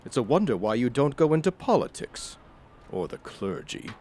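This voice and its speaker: A man's voice: mid-range voice, sing-song, normal speed, warm tone. A man playing a bitter old miserly uncle in an audio drama, curt, sneering and sarcastic. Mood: cold, sarcastic.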